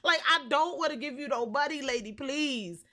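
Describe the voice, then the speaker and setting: A young woman telling a story casually on a livestream, expressive, slangy and sweary. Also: sits high, moderate pace. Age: young adult. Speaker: game streamer.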